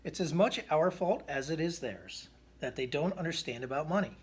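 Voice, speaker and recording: A man voicing a calm, sensible mother bear from a storybook, reasoning in a measured way. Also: a little high, breathy edge.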